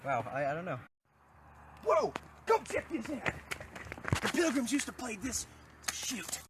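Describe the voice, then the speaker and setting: A young man joking outdoors in a phone video, giving fake nature-guide facts in a deadpan way. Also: very high voice, a little slow, background noise. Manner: mock-documentary deadpan. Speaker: vlogger. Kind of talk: goofy improvised skit. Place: outdoors on an overgrown road. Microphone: phone camera.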